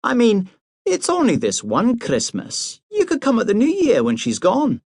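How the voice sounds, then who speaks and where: Man voicing a brisk mother. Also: high-pitched, wildly swooping pitch, darkish tone, husky, no echo, clean studio sound, pitched above the performer's own voice, exaggerated voice. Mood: coaxing. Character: Scottish middle-aged mother, busy and brisk.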